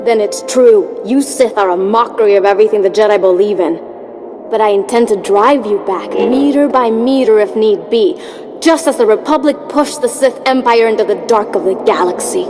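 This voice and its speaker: A woman plays a young Jedi apprentice in a video game, righteous and unbending. Her mid-pitched voice is solemn and formal, with loud music underneath. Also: moderate pace, close to an everyday voice. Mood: defiant. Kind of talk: scripted video game acting.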